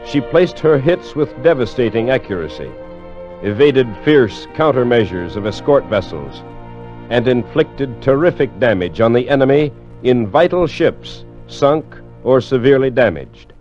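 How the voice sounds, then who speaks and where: A mid-pitched, stately voice of a male actor plays a solemn navy official declaiming formally in old-time radio style. The recording is dull and lo-fi. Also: lively intonation, measured pace, darkish tone, soft background music, natural voice. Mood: solemn, proud.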